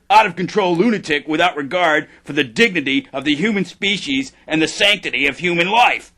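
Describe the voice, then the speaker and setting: An actor playing a pompous, defiant genetic engineer in a satirical audio drama, speaking formally and indignantly. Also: male voice, on the high side, pushed and bright.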